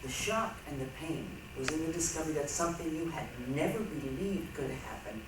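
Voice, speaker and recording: A man's voice: fairly high pitch, lively intonation, fairly quick, natural voice. Man acting an earnest narrator, very echoey. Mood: solemn. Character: earnest man soberly reflecting on a shared national loss. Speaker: reader in a reader's theatre performance. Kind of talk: staged dramatic reading. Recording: very echoey, noisy hall, distant mic.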